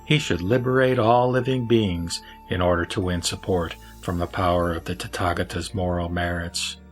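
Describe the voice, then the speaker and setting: Wise lay sage , male reader, lower register, solemn formal sutra answers over faint music. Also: steady tone, normal speed, natural voice. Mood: solemn teaching. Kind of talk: audio drama sutra recitation.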